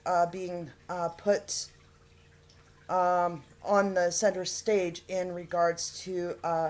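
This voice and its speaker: Halting earnest woman making an appeal. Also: fairly low pitch, a little mellow. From Alberta, Canada. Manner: halting, many fillers and pauses; earnest, pleading. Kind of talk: unscripted appeal to camera.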